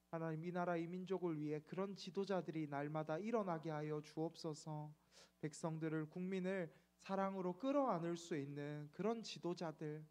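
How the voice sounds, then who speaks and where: A man's voice: a little high, darkish tone. A man in his thirties, a pastor, giving a reflective midweek sermon with frequent fillers. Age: 30s.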